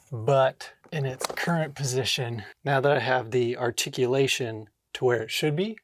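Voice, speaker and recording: Male DIY vlogger, mid-pitched, informal and self-talking, short exclamations, close dry indoor sound. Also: no room sound. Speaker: home theater DIY vlogger. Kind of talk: casual hands-on vlog narration, thinking aloud. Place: indoor home theater room with drop ceiling.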